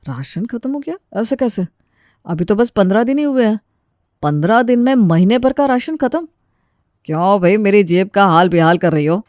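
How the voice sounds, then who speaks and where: A woman's voice: medium pitch, lively intonation, moderate pace, a little mellow, no room sound, studio quality, lightly acted. A woman voicing an irritable, stingy husband in a children's cartoon story. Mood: irritated.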